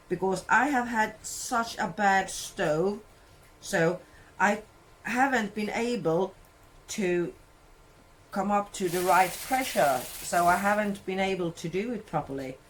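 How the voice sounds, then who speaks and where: A woman's voice: medium pitch, a little hiss or hum, poor audio. A woman from Sweden with a Swedish accent, chatting casually about her cooking in a home kitchen, rambling and correcting herself as she goes. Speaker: cooking vlogger.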